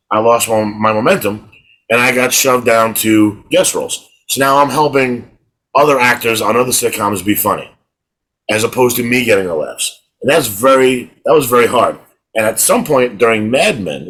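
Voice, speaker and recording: A man's voice: mid-range voice, fairly bright tone, slightly rough, low-quality recording. A middle-aged man co-hosting a podcast in casual chat, telling rambling stories and restarting his words. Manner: rambling storyteller, repeats words when starting. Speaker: podcast co-host.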